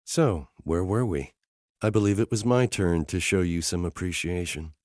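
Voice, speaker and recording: A man voicing an emotional young woman in an audiobook, speaking in a higher, softer voice. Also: normal speed, dry recording, studio quality, close to an everyday voice. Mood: recovering. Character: emotional young woman, tearful and self-doubting. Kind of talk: audiobook character dialogue.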